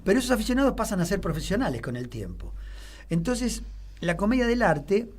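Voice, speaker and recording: A man's voice: high-pitched, wildly swooping pitch, fairly quick, breathy edge. A middle-aged man with a Rioplatense Spanish accent, a theater teacher explaining history on a radio show in a rambling way, full of fillers and restarts. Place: radio studio.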